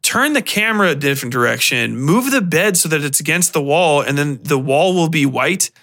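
A man with a slightly high, forceful voice talks as a podcast host. He delivers casual film commentary as an animated, opinionated rant. His language is slangy and full of swearing. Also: brisk. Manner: profane, slangy.

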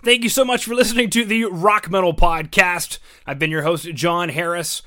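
High, bright-voiced male podcast host. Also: fairly even intonation, normal speed, dry recording, studio quality. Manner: upbeat, announcer-like. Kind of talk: scripted podcast outro.

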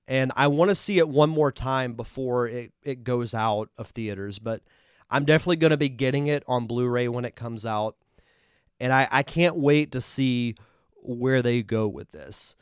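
A man's voice: medium pitch, normal speed, smooth, no echo, studio quality. A man with a congested voice hosting a live podcast, chatting casually and enthusiastically as he reviews a film. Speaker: podcast host.

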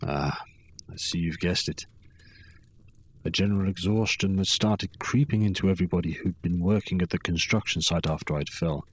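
An actor playing a weary, drunken old wanderer in a fantasy audio drama, recounting his bitter youth in a brooding, halting way with a husky voice. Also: sits low. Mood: grim, resigned.

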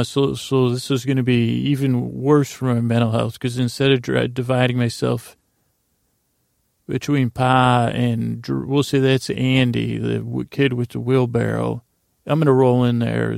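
A man rambles as the host of a bedtime podcast. His improvised talk meanders and keeps interrupting itself. The voice is deeply gravelly with fry, and the sound is very dry. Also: darkish tone, no echo. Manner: meandering, halting, self-interrupting. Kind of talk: rambling improvised monologue to distract listeners.

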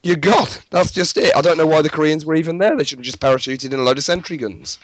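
A man chatting casually on a gaming podcast, swearing and telling lively stories. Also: a little high, quick pace, slight background noise. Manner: sweary, animated storyteller, puts on silly voices.

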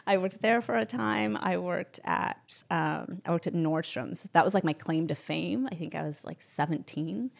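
Chatty young woman with a gravelly voice. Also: fairly low pitch, normal speed, few pauses. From Spain. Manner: candid, chatty, laughs often, many ums. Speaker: interview guest. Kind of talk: casual interview chat.

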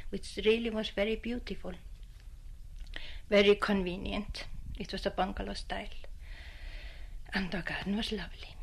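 A woman's voice: medium pitch, vocal fry, husky, low-quality recording. Earnest woman recalling. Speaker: interviewee, local resident. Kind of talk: interview recollection.

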